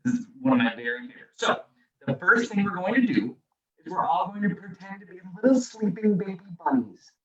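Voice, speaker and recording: A man's voice: sits high, very animated, brisk, reverberant, recorded from a distance, dull audio, a crowd in the background. A man guesting as a children's musician, explaining things simply and encouragingly to young listeners. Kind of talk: children's singalong and friendly explaining. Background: acoustic guitar.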